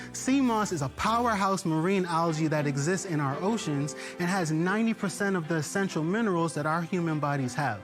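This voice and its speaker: A young man from the Bahamas, an entrepreneur delivering an upbeat, emphatic sales pitch to investors.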